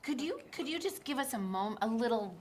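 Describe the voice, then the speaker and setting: A woman's voice: a little high, lively intonation, brisk. Chiming-in woman host, noisy line. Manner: encouraging, chimes in often. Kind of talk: casual webcast hosting.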